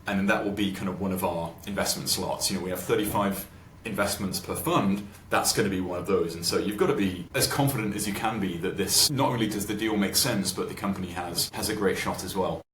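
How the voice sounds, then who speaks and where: A man with a low voice speaks in a roomy, echoing space with clear noise behind him. He explains things informally, like a podcast guest answering questions. His phrasing is colloquial and conversational. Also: fairly quick, a bit bright. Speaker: venture capital investor, podcast guest.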